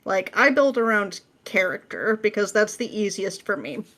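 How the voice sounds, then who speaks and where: Female interview guest, medium pitch, informal conversational read, explains by quoting her own thoughts. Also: sing-song, moderate pace, a bit bright. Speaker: podcast guest, script writer. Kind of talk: casual interview chat.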